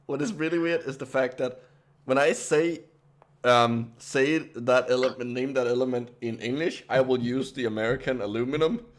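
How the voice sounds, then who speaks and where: Danish man, halting and filler-heavy. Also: medium pitch. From Denmark. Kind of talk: casual group chat.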